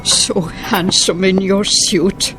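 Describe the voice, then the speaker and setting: Elderly wife, played by an actress, anxious, tender, careful, very slow pace, radio drama. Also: female voice, medium pitch, steady tone, over music, natural voice. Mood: gentle. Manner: gentle, fretful, careful.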